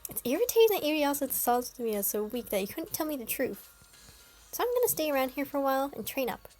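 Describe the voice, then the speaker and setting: A woman's voice: high voice, big pitch swings, fast talker, a little mellow, soft background music, voice pushed up. A woman voicing a brash, teasing girl who hates losing.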